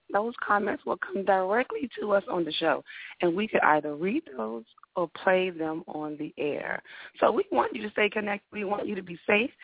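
Woman, raspy, gravelly, radio talk host, upbeat, repeats words, dry phone-like sound. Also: medium pitch, dry recording. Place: radio studio.

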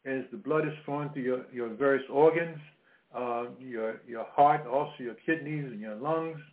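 A man in his late seventies hosts a holistic health radio show over a narrow, tinny phone line. He talks at a middling pitch in a halting way, with lots of "uh"s and repeated words. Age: 70s. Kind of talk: talk radio monologue.

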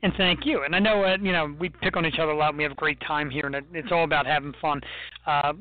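A man co-hosting a radio talk show, bantering and teasing his co-host in a joking, animated way. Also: fairly high pitch, quick pace, no room sound. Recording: dry, phone-like narrow sound.

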